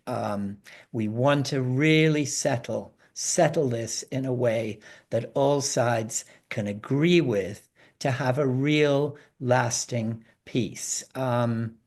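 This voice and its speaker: Slow, halting man explaining. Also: mid-range voice, darkish tone. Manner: halting, hesitant, frequently repeating words. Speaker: guest analyst on a panel. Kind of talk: informal explanatory panel talk.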